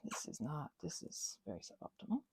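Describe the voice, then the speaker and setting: A mellow, husky woman with a creaky edge chats through a craft project. She rambles whimsically, half to herself and half to her materials, and drifts toward a whisper at times. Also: low voice, very animated, brisk. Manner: whimsical, talks to herself and objects. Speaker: crafting vlogger. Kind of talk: rambling casual craft chat, ASMR-style.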